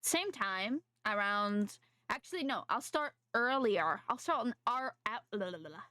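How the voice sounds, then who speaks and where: A woman game streamer chatting casually to viewers, haltingly thinking aloud and repeating her phrases. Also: medium pitch, expressive, sharp tone, no room sound. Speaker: game livestreamer. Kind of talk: casual livestream chat.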